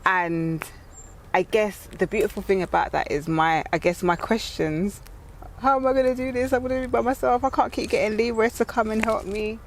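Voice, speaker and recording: Expressive London woman interviewer, noise behind. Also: mid-range voice, brisk. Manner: expressive, emphatic, repeats phrases. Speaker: interview host. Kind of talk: casual conversational interview.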